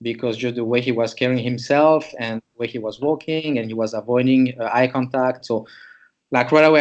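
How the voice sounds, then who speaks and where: A man's voice: mid-range voice, highs cut off. A man from Switzerland, a chiropractor, presents a patient case to students in a loose, conversational way, thinking aloud as he goes.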